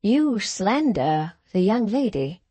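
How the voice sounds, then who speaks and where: Woman reading a restrained, dignified young man; mellow, dry. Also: medium pitch, takes it slowly, breathy edge, no room sound, heavily acted. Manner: calm, restrained.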